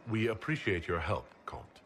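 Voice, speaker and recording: An actor playing a steady, protective leader in a video game, speaking in a measured, plain and polite way. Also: male voice, on the low side, a little hiss or hum. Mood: concerned, questioning. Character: calm, steady, protective heroic male leader. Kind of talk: video game cutscene acting.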